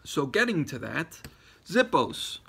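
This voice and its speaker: A man's voice: a little high, sing-song, slow pace, vocal fry, very noisy. A man raised in Florida talking casually about his lighters, rambling with many fillers and restarts. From Florida, born in Ecuador. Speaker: pipe-smoking YouTuber showing his lighters. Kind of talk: casual show-and-tell video reply.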